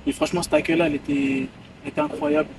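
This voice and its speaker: A man's voice: a little high, level tone, very fast, gravelly, distant mic, noisy background. A man in his twenties, a footballer giving casual interview answers outdoors and repeating phrases for emphasis. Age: 20s. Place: outdoors by a hotel pool.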